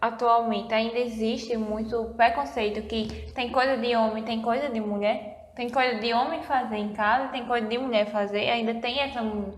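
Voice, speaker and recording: A woman's voice: on the high side, fairly even intonation, normal speed, hardly any pauses. A woman, co-hosting a casual chat and asking questions. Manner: conversational, asks questions. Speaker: co-host. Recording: echoey room with long reverb.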